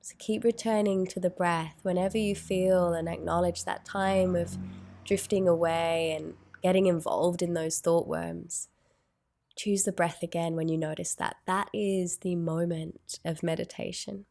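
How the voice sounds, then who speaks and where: A woman guides a meditation very slowly, with long pauses between gentle phrases. Her voice sits on the low side and stays in a narrow, even range, with noticeable fry at the edges. The delivery is soothing and unhurried.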